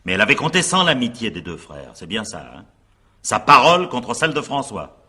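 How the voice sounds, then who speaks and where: An actor playing an examining magistrate in a French radio crime drama, probing and insistent, with a husky voice and pointed tag questions. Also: male voice, medium pitch, big pitch swings, fairly quick, sharp tone, heavily acted. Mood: insistent, pressing.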